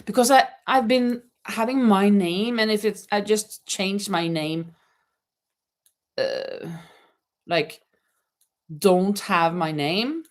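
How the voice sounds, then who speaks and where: A middle-aged woman chatting on a livestream while she crochets. She talks slowly and unhurriedly, laughs often, and reads viewers' comments aloud in a friendly way. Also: mid-range voice. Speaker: livestream host. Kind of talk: casual live chat while crocheting. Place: home in Spain.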